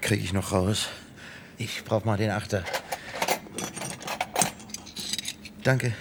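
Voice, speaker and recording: An actor playing a deliberate, conflicted small-town lawman in radio play dialogue. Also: lowish voice, fairly even intonation, noisy background. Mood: probing, wary.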